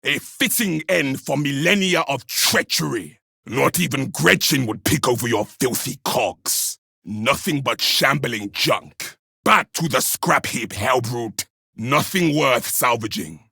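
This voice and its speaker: A man voicing a battle-hardened, contemptuous Space Marine warrior in a video game, with a raspy, gravelly voice and forceful, scornful delivery. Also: mid-range voice, expressive, slow pace, no room sound, studio quality, full character voice. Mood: scornful contempt. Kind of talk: combat kill barks from a video game.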